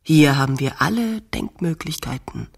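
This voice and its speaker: A teasing, headstrong young woman in a radio drama. Her voice is husky and matter-of-fact, drily contradicting. A long hall-like reverb hangs around every phrase. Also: deep voice, animated, moderate pace. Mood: dry, stubborn. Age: 20s. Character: stubborn, teasing 28-year-old pregnant woman. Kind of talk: radio play dialogue.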